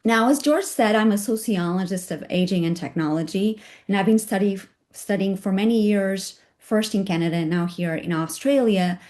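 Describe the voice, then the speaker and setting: A woman giving an academic talk over a video call, her voice raspy from a cold, speaking with some fillers. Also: fairly low pitch, darkish tone. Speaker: guest speaker. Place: home at night, joining remotely.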